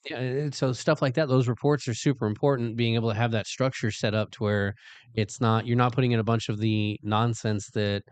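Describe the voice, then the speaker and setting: A man hosting a casual workplace talk show, chatting quickly with lots of fillers. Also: mid-range voice, steady tone, a little mellow, no room sound. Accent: Midwestern American. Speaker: show host, security lieutenant.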